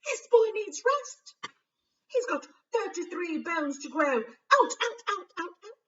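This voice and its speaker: A woman voicing a stern, raging hospital matron from a storybook, scolding loudly and forcefully. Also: very high voice, big pitch swings, slow pace, far from the mic, dull audio, a little hiss or hum, voice pushed up, heavily acted. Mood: furious shouting. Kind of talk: storybook character reading.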